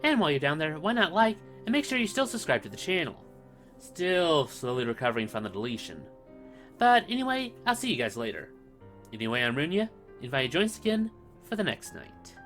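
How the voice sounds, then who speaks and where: An animated, mid-pitched host narrates a comic recap. The delivery is scripted with casual asides, and music plays behind the voice. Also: brisk. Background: background music.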